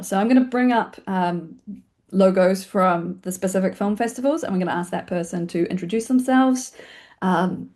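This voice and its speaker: Female webinar host, New Zealand accent, mid-range voice, informal and chatty, quick-talking presenter style. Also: medium pitch, darkish tone. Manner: fast-talking, chatty, self-deprecating, questioning okay check-ins. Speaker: webinar host and film community organiser. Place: online video call.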